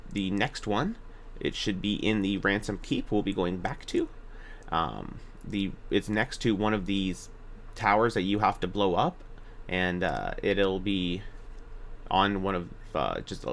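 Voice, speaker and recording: A man's voice: low-pitched, gravelly, a bit bright, a little hiss or hum. A man narrating a video game walkthrough in a casual, informal way, hesitating and correcting himself as he gives directions. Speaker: gaming video guide narrator.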